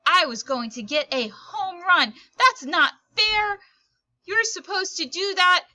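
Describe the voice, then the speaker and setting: A woman's voice: extremely high pitch, lively intonation, slow pace, bright, forceful tone, dry recording, voice pushed up, heavily acted. A woman voicing a hot-tempered, competitive young girl in a storybook, with whiny, indignant, wailing delivery. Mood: furious, wailing.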